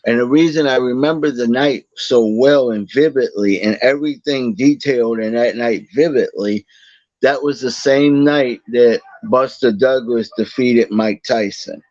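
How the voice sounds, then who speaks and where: A man, a guest telling his story slowly in a casual interview, halting with many fillers and restarts. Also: mid-range voice, a little mellow, no echo, highs cut off. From Boston, Massachusetts. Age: 40s.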